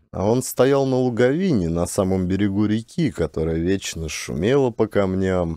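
A man narrating a scripted audiobook in a measured, expressive storytelling manner. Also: lowish voice, no echo, studio quality.

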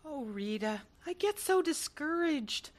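A woman's voice: sits high, lively intonation, a little slow. An actress playing an anxious mother of teenagers in a radio drama, with an emotional, plaintive delivery. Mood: discouraged.

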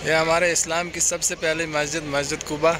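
Walking-tour vlogger, man, slightly high voice, devout and pointing things out, street noise behind. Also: flat delivery, brisk. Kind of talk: casual walking-tour narration. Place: outdoors on city streets and at a mosque. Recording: noisy outdoor phone recording.

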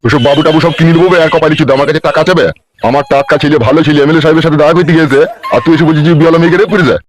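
A man's voice: fairly high pitch, fairly even intonation, racing, natural voice. A man voicing a hot-tempered, boastful, crude village husband in a comic cartoon. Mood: angry, defiant. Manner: blustering, scolding, crude. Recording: noisy amateur voice track with clicks.

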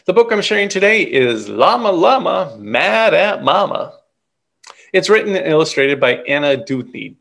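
A middle-aged man reading a children's story aloud in an expressive, deliberate way. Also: on the high side, creaky. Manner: animated, deliberate. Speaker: picture book reader.

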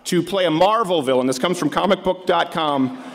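A man with a notably high voice hosts a live comedy news show from a university stage. He talks into a desk mic in a mock-serious newsreader tone and breaks it with fast sarcastic jabs. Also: gravelly, a little hiss or hum. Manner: mock-newsreader delivery, quick sarcastic asides. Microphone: desk studio mic.

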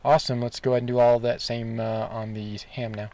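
A man's voice: medium pitch, little pitch movement, fairly quick, mellow, slight background noise. A man talking casually as a radio operator running a test with a partner.

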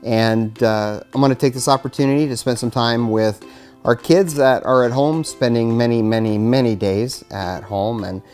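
A man's voice: medium pitch, fairly even intonation, normal speed, darkish tone. Middle-aged American man, music behind. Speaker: fire chief, guest story reader. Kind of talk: reading a children's storybook aloud, addressing kids. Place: indoors in front of a curtain backdrop, studio-like setup.

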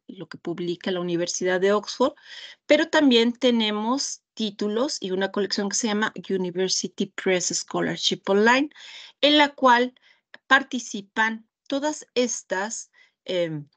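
Mexican woman, webinar trainer, very unhurried, methodical screen-share walkthrough. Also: mid-range voice, fairly bright tone. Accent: Mexican Spanish. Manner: slow, deliberate, pausing often. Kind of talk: screen-share product demonstration. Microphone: video call.

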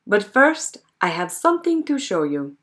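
A woman voicing a friendly, gentle male painter in a storybook. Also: mid-range voice, sing-song, moderate pace. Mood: gentle, hopeful.

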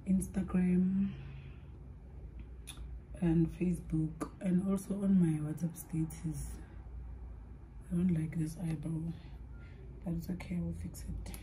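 A young South African woman with a low, mellow voice rambles casually to her viewers while doing her makeup, mixing languages as she talks. A faint hum of room ambience sits behind her. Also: poor audio. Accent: South African, mixing in Sepedi. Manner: chatty and rambling, switching languages mid-sentence, trailing off into soft asides. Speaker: vlogger.

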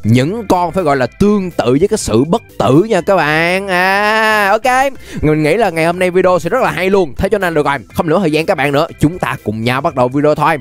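A playful, chatty young man giving animated gameplay commentary straight to his viewers. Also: a little high, fairly quick, hardly any pauses. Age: young adult. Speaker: gaming video host. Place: indoor gaming setup. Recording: music behind the voice. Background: music.

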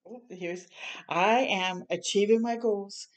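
A woman's voice: medium pitch, steady tone, slow pace, a little hiss or hum. An older woman doing a children's storytime, reading a picture book aloud and adding encouraging, chatty asides. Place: small room at home, indoors.

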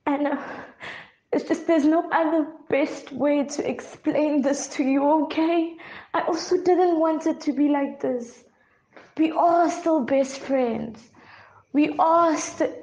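A woman playing a guilty twin sister in a melodrama, halting and apologetic as she pleads for forgiveness. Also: high-pitched, darkish tone. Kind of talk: melodramatic audio-drama acting. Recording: echoey room, slightly muffled.

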